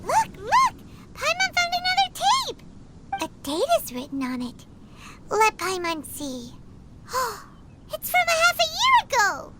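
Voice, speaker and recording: A woman voicing a small, childlike, excitable fairy companion in an anime-style video game, squeaky and nosy. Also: a little slow, heavy background noise, heavily acted. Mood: excited. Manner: speaks of herself in third person, exclamatory. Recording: music playing loudly behind.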